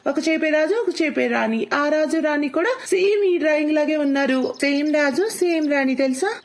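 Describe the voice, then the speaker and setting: Woman putting on a high-pitched innocent schoolboy. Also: moderate pace. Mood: earnest. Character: curious, hesitant young schoolboy, innocent. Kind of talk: cartoon moral story acting.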